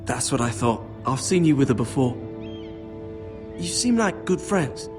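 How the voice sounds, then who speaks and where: A man's voice: mid-range voice, animated, unhurried, music in the background, lightly acted. A man playing a humble, polite young servant boy in a medieval fantasy game, speaking in a deferential, hesitant way. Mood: confiding, cautious. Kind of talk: video game drama acting.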